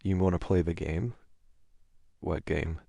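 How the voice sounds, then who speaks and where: A man's voice: deep voice, steady tone, a little slow, vocal fry, dark tone, dry recording, studio quality. A man performing a casual boyfriend roleplay, speaking in a hesitant, conversational, self-deprecating way as an awkward, amiable partner.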